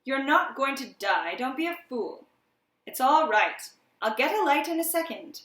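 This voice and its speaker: A woman voicing a tense, exasperated man who tries to take charge in a storybook reading, sharp and impatient. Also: on the low side, very slow, vocal fry, pitched below the performer's own voice, exaggerated voice. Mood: savage, alarmed. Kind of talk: dramatic storybook character voice. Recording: roomy, echoing room sound.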